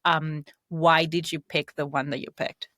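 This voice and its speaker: A woman with an Argentine accent and a notably low voice chats casually about poetry. She talks loosely, with fillers and easy laughter. The sound is dry and close, with no room around it. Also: flat delivery, measured pace, sharp tone, no room sound. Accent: Argentine Spanish. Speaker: podcast co-host. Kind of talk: conversational chat and poetry reading.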